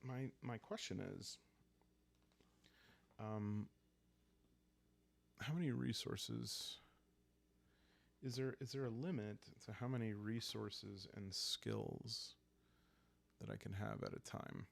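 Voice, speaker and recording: Low, gravelly man, calm and slow. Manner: calm, unhurried, halting, thinking aloud. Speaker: host and solo player of a journaling roleplaying game. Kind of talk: calm solo game narration in ASMR style.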